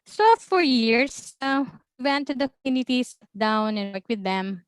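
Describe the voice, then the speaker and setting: A Filipino woman with a Filipino accent, presenting in a webinar as an observatory information officer in a measured way, with many ums and uhs. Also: on the high side, clean tone, dry recording, rough recording. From Philippines.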